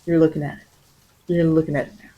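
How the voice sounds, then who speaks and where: Halting, musing tarot reader with a medium pitch. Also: little pitch movement, warm tone, lo-fi sound. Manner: repeats phrases, halting and musing. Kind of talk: ASMR tarot reading, rambling and improvised.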